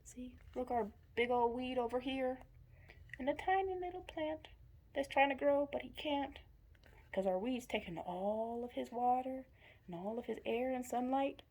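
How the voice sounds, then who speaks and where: A woman's voice: sits high, moderate pace, darkish tone. A woman commenting on picture book scenes for young children, pointing things out in simple short phrases. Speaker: story reader commenting on pictures.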